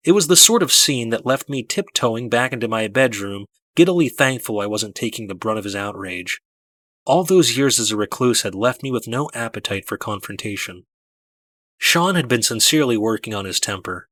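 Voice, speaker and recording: Bright, close-miked man narrating an audiobook. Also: mid-range voice, expressive, moderate pace, dry recording. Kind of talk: scripted first-person story narration.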